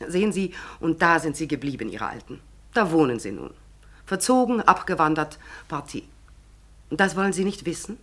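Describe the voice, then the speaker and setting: A woman's voice: sits low, big pitch swings, normal speed, fairly bright tone, heavily acted. An actress playing a brusque, callous, gossipy woman tenant in a 1940s German radio drama, blunt, offhand and sardonic. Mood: mocking.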